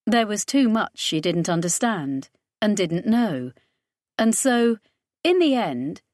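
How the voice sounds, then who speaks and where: A woman's voice: medium pitch, sing-song, slow, darkish tone, dry recording, clean studio sound. A woman reading scripted fiction as an audiobook narrator.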